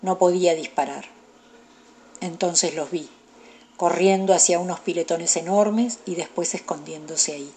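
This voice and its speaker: A man's voice: on the high side, measured pace, darkish tone, a little hiss or hum. Remorseful Argentine policeman confessing. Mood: sombre, confessional. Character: remorseful male federal police officer confessing about a past repression. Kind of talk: radio drama monologue.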